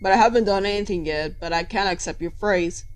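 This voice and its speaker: A woman's voice: medium pitch, brisk, lightly acted. A woman playing a cheerfully menacing male robot villain who taunts with false friendliness in a story reading. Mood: mocking. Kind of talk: amateur dramatic fan fiction reading.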